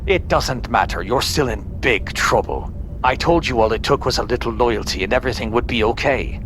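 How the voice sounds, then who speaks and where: Man playing contemptuous male admiral, sci-fi audio drama, mid-pitched, condescending, domineering, steady rumble behind. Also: normal speed, close to an everyday voice. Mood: angry contempt. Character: sneering, tyrannical, bigoted Starfleet admiral, cold and contemptuous.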